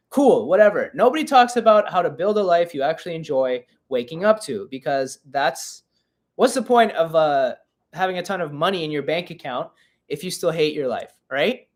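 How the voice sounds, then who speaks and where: A young man in his twenties hosts a live Q&A stream in a loose, chatty way, often poking fun at himself. His voice sits a little high for a man. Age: 20s. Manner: chatty and self-mocking, reading chat aloud. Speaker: podcast host.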